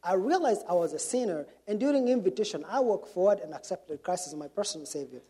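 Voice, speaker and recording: A middle-aged man from Kenya with a Kenyan accent, telling his testimony to a church congregation in an unhurried storytelling way, with many pauses and fillers. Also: high-pitched, expressive, husky. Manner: warm storytelling with frequent fillers. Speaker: missionary speaker.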